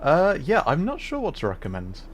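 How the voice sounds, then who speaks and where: A man's voice: on the high side, wildly swooping pitch, brisk. A man livestreaming a video game, giving casual, rambling commentary with hesitant, amused asides. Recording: noisy stream with game ambience.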